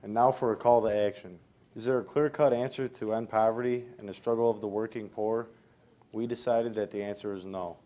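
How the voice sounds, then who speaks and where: Mellow, lowish-voiced young man presenting. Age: young adult. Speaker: student presenter. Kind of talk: scripted presentation. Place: college library event, live on stage.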